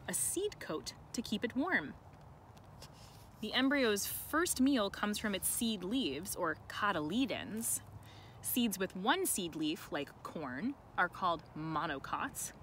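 A woman reading a picture book to children, expressive and deliberate, with chatty asides. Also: fairly low pitch. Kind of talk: read-aloud with chatty asides. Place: outdoors in a sunny farm garden. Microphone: camera held by another person nearby. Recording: outdoor ambient noise.

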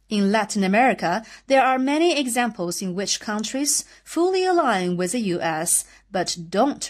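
Woman reading the news on a podcast, even and formal, mid pitch. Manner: measured, formal delivery.

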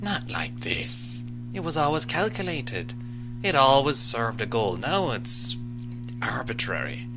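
A man's voice: medium pitch, sing-song, slow pace, poor audio. A man playing a bossy, exasperated imp in a radio play, anxious and scolding. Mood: grave. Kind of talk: short radio play acting. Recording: loud music behind the voice.

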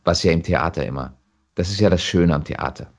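A man chatting casually as an actor being interviewed, telling stories in an animated way. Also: deep voice, fairly quick, gravelly.

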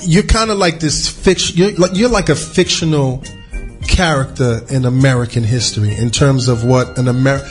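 A man's voice: medium pitch, normal speed, faint music behind. A man hosting a casual studio podcast interview, talking loosely with many restarts and repeated words. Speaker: podcast host.

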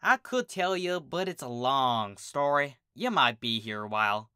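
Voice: mid-range voice, expressive, unhurried, bright, forceful tone, clear, resonant tone, no echo, clean studio sound. A story reader voicing a folksy, easygoing female farm pony with a Southern US drawl. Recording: music behind the voice.

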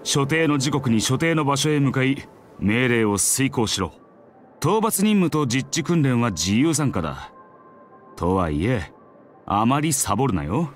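A Japanese actor playing a brisk, commanding but easygoing military squad captain in an anime game. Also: male voice, medium pitch, big pitch swings, faint music behind. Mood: brisk, instructing.

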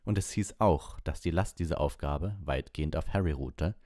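A man's voice: extremely low pitch, normal speed, darkish tone, no echo, clean studio sound. A man reading an audiobook as narrator, in scripted storybook narration.

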